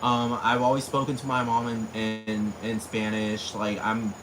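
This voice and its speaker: A man's voice: mid-range voice, monotone, normal speed, noisy background. A man with a Puerto Rican accent ranting casually in a rambling, profane way, full of fillers. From Puerto Rico. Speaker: vlogger. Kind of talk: casual rant. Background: crickets and insects.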